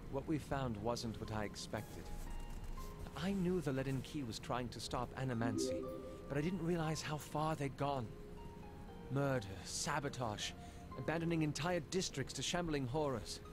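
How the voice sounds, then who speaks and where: An actor playing an earnest, contrite former spy in a fantasy video game, speaking in a measured way. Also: male voice, medium pitch, over music, natural voice. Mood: earnest, explaining.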